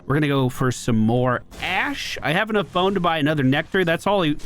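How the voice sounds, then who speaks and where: A man's voice: on the high side, animated, fairly quick, a bit bright. A man hosting a gaming video, chatting and thinking aloud analytically as he plays. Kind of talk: gameplay commentary. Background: faint game music.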